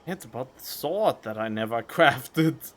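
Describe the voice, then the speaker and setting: A man's voice: medium pitch, lively intonation, unhurried, a bit bright, a little hiss or hum. A man giving casual, off-the-cuff commentary as he streams a video game. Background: game music.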